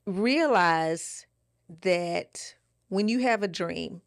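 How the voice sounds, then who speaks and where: Woman podcast co-host, kinda low voice, casual emphatic advice, dry close sound. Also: very slow, no room sound. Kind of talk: casual chat, giving advice.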